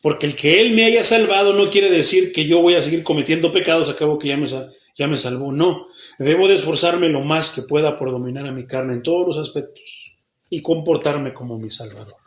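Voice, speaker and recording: A man's voice: fairly high pitch, a little fast. A Mexican man, a pastor with a Mexican Spanish accent, giving a Bible study sermon in a church hall. He keeps putting questions to the congregation and answering them himself. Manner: acts out the people he quotes, even mock babbling.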